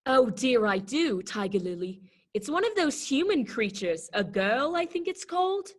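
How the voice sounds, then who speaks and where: A woman's voice: fairly high pitch, very animated, normal speed. A woman voicing a snooty, dismissive talking rose in a children's readers theater play. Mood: dismayed. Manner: snooty, exaggerated disdain.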